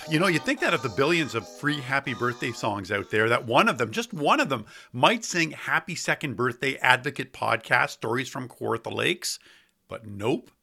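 A man's voice: medium pitch, gravelly, pushed and bright. A man hosting a local podcast, reading his narration in a wry, playful way and putting questions to guests. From Ontario, Canada. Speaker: podcast host. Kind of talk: scripted podcast narration and interviewing.

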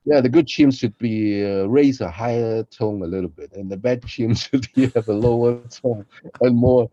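A man co-hosting a casual chat in a halting manner, often repeating his phrases. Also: mid-range voice, dark tone, no echo. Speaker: podcast host, voice performer. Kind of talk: casual behind-the-scenes chat. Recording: slightly dull, lo-fi sound.

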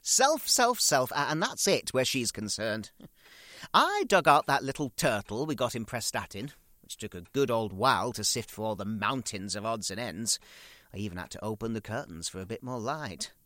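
A man's voice: medium pitch, lively intonation, moderate pace, pushed and bright, no room sound. A man playing a fussy, self-pitying grown son in an audio drama monologue, chattily grumbling and rambling in darkly comic asides. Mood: exasperated.

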